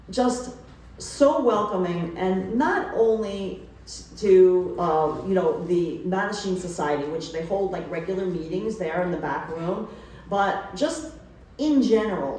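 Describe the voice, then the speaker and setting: A woman's voice: mid-range voice, darkish tone, a little hiss or hum. A woman with a New York accent talking casually at a book event. She rambles, with many fillers and restarts. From New York City. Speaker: author and photographer. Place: event room. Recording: roomy, distant from the mic.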